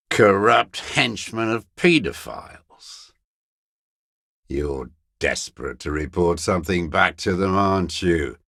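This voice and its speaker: Casting: a bitter old sniper in hiding, video game; creaky, gravelly, scornful, very dry close sound. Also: male voice, sits low, fairly bright tone, dry recording, full character voice. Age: older. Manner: slow, contemptuous, bitter invective. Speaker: video game character actor. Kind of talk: dramatic video game voice acting.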